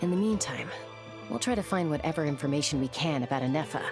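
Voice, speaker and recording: Low-pitched, confident young tribeswoman. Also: expressive, quick pace, over music. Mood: reassuring. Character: confident, welcoming young tribeswoman, reassuring leader type.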